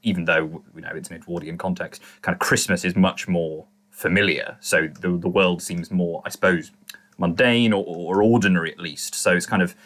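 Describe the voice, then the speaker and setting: A man as a podcast panelist in casual discussion, rambling and haltingly correcting himself as he goes. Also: sits low, fairly even intonation, fairly bright tone.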